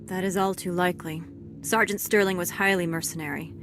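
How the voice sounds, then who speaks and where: An actress playing a stern, fair-minded security captain and ex-marine in a video game, formal, measured and dry. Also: female voice, lowish voice, steady tone, fairly bright tone, faint music behind, natural voice. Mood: stern, restrained. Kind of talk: scripted video game acting.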